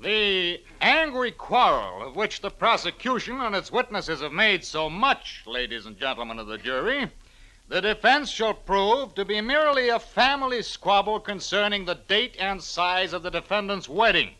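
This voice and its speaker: An actor playing a crafty, theatrical defense attorney in an old-time radio drama, speaking in an oratorical way with dramatic pauses. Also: male voice, high voice, animated, bright, forceful tone, low-quality recording. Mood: grandly forceful.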